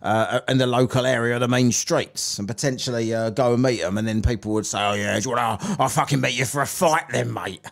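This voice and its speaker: A man's voice: mid-range voice, fairly even intonation, quick pace, gravelly, hardly any pauses. A British man in his forties chatting casually as a podcast host, rambling and sweary, close on a mic. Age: 40s. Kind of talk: casual chat. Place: small room at home. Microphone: close studio mic on an arm.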